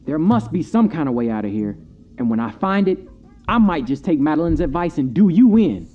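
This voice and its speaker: A man's voice: a little high, big pitch swings, brisk, dull audio. A man playing the ghost of a male cat, telling his own story in a wry, folksy way. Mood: indignant, trapped. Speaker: narrator. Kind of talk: first-person scripted storytelling. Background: music.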